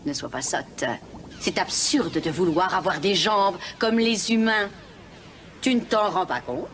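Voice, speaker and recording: A woman playing a sly, powerful, wicked sea witch in an animated film, condescending and coaxing. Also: mid-range voice, unhurried, a little hiss or hum. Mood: mocking, scornful. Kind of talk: French-language animated film voice acting.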